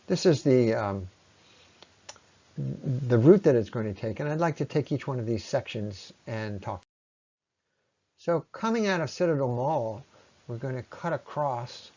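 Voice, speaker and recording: A man narrating an informal slide presentation in an explanatory way, with halting pauses. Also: on the low side, dark tone, highs cut off. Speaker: presenter on local ecology.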